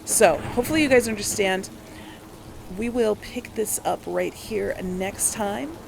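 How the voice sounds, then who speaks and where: Woman doing unhurried gameplay commentary, noisy background. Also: medium pitch, expressive, vocal fry. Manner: talks to self while playing, exclaiming. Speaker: gaming video host. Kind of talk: casual let's play commentary, chatting to viewers.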